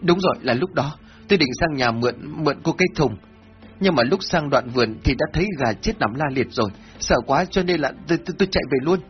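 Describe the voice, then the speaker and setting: A man voicing a terrified village man, begging in a high whimpering voice that climbs and falls. The sound is a little dull, with quiet music underneath. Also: animated, fast talker, natural voice. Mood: desperate. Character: fearful male villager, pleading and sobbing.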